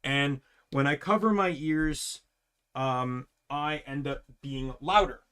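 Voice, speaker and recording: A young man streaming, chatting casually with a loud voice, rambling with lots of fillers and restarts. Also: mid-range voice, takes it slowly, clear, resonant tone.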